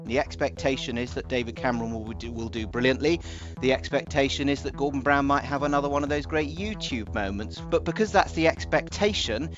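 Wry male commentator over music. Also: mid-range voice. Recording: dull clip under music.

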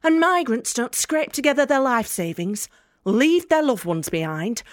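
An actress playing a defiant, bitter young student with a Northern English accent in an audio drama monologue, blunt and sweary. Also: female voice, a little high, no echo, clean studio sound, natural voice. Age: young adult. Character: defiant, bitter young British woman student, sharp-tongued and sardonic.

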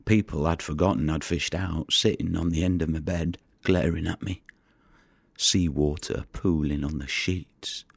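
An actor playing a weary, haunted fisherman in an audio drama monologue, husky, brooding and halting in a confiding way. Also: rumbling low, dry recording, heavily acted. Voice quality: gravelly, husky. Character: weary, haunted male fisherman who recovers the drowned.

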